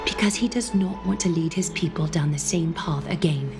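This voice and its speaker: An actress playing a regal, composed female leader in a fantasy game, speaking in a formal, grave and deliberate manner. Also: low voice, music in the background. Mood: probing, stately.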